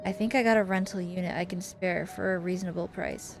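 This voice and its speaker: A woman's voice: lowish voice, fairly even intonation, brisk, soft background music, voice pushed down, natural voice. A woman voicing a prickly, exasperated female cousin in a visual novel game, scolding and sarcastic. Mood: dismissive, irritated.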